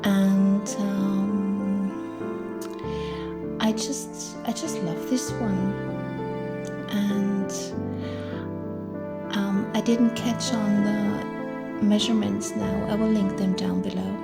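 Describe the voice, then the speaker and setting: A middle-aged woman, chatting gently through a crafting tutorial and thinking aloud as she works. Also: mid-range voice, level tone, drawn-out words, gravelly, pauses often, loud music behind the voice. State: hoarse. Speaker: crafting channel host. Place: indoors at a craft table.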